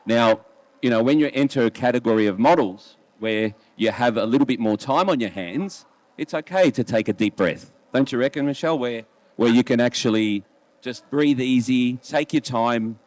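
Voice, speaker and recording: A man's voice: mid-range voice, normal speed, darkish tone, dry recording. An Australian man in his late forties talking casually as a photo critic, chatty and often checking in with his colleagues. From Melbourne, Australia. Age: late 40s. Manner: chatty, rhetorical questions to colleagues. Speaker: wedding photographer and competition judge. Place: booth at a trade show.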